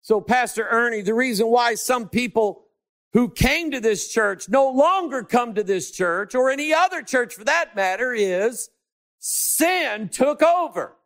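A man preaching a sermon, swinging from shouted emphasis to hushed asides and stretching key words to drive them home. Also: extremely high pitch, lively intonation, unhurried.

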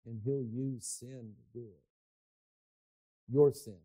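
A man preaching a sermon, swinging from shouted emphasis to hushed asides and stretching key words to drive them home. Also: medium pitch, fairly even intonation, slow pace, rough recording.

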